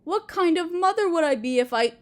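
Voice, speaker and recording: A woman playing a doting, possessive Mother Earth goddess in a roleplay audio drama, sweet and cooing as if to a small child, with gentle menace. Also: very high-pitched, lively intonation, brisk. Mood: sweetly menacing.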